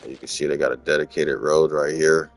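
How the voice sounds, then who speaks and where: Super deep voiced dude narrating his game, laid back and rambly, faint music behind. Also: brisk. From Los Angeles, USA. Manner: relaxed, rambling, repeats words, chuckles. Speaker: gaming channel host. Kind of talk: casual gameplay walkthrough commentary.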